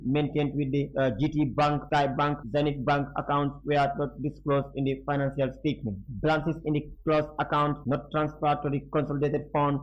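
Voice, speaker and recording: Male news reader, slightly high voice, formal but stumbling delivery of press reports, muffled lo-fi sound. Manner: formal reading with frequent stumbles over words. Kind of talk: reading newspaper reports aloud.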